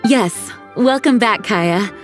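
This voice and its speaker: An actress playing a dutiful, composed female knight commander in a video game cutscene, speaking in a formal, measured way. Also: medium pitch, close to an everyday voice. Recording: faint game music behind.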